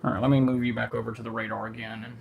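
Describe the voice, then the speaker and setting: A man's voice: medium pitch, little pitch movement, racing, creaky, narrow, tinny audio, slight background noise. A man hosting an amateur livestream in a casual, informal way, thinking aloud as he goes. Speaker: amateur storm-watch livestream host. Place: a room at home.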